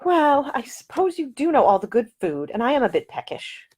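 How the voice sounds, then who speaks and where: A woman voicing a spirited young woman traveler who talks in a brisk, quick-witted way. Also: high voice, sing-song, darkish tone, breathy edge, voice pushed up, exaggerated voice.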